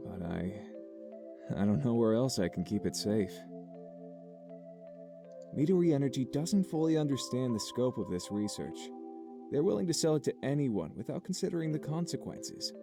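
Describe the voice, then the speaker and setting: An actor playing a middle-aged scientist father in a game, solemnly confessing to his son in measured, remorseful speech. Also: medium pitch, very animated, over music. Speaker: game character in recorded message. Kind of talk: dramatic visual novel acting.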